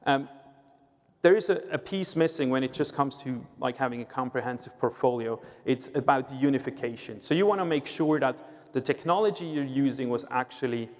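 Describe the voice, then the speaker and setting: A man's voice: medium pitch, moderate pace. Swiss-accented man speaking in a hall. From Switzerland. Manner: explanatory, uses filler "uh" and "right?". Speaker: sales executive, guest speaker. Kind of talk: conference panel talk. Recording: long reverb of a hall.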